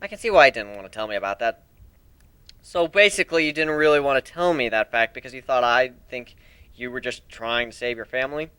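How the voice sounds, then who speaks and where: Animated man reading a story, dry sound. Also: medium pitch, fairly quick, smooth, no room sound. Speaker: amateur story reader. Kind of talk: dramatic first-person story narration.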